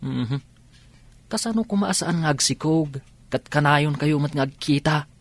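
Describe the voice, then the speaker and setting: An actor in an Ilocano radio drama playing a nosy, teasing buddy who warns a friend of trouble. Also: male voice, on the high side, a little slow. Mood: teasing, warning.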